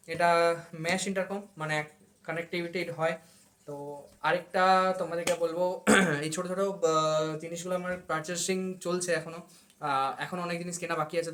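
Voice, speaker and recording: A man's voice: on the high side, a bit bright. A man giving a casual vlog review, hesitating now and then and mixing in English words. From India. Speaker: motorcycle vlogger.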